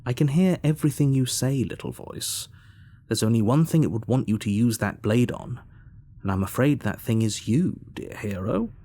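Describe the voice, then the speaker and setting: A man playing an ominous narrator in a visual novel, speaking in a deliberate, formal and sardonic way with controlled exasperation. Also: medium pitch, lively intonation, warm tone. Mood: sardonic, stern. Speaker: game narrator. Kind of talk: scripted second-person narration in a visual novel. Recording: music behind the voice.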